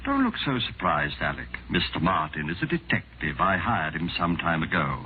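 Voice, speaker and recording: A wronged, calculating man in a 1940s suspense radio play. His low voice moves dramatically up and down with deliberate theatrical weight. The sound is narrow and tinny, with faint music. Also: big pitch swings, a little hiss or hum. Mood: cool triumph. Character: wronged, cunning male patient feigning terror, then coolly triumphant.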